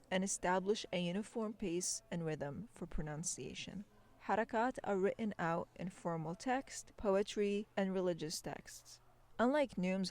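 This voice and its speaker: A woman hosting a podcast, reading scripted narration in a calm, explanatory manner on a close mic. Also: on the low side. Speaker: podcast host.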